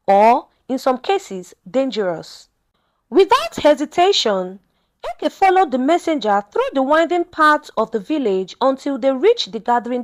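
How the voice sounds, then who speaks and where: High-pitched, animated woman telling a folktale. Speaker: storyteller. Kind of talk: scripted folktale narration.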